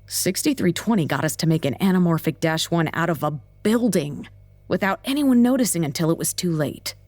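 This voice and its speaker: A hard-nosed leader argues with curt insistence in an audio drama. Her voice is low and moves widely in pitch, recorded dry and close. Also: expressive, brisk, a little mellow, no room sound. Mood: insistent. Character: hard-nosed, determined woman research leader.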